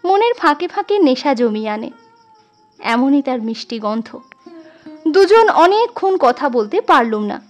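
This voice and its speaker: Female literary narrator, high register, expressive reading with music underneath. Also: unhurried, long pauses. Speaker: audiobook narrator.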